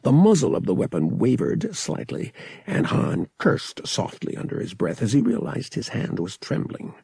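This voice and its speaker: Gravelly, husky male audiobook narrator. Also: medium pitch, wildly swooping pitch, moderate pace, warm tone, dry recording, studio quality. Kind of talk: dramatic scripted narration.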